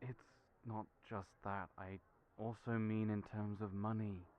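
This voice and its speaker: A man's voice: fairly low pitch, little pitch movement, unhurried, a little hiss or hum, lightly acted. A man playing Julian, a kind but anxious young man who doubts himself, talking hesitantly. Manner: hesitant, worried, self-deprecating. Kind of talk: audio roleplay acting. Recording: tinny phone-like audio.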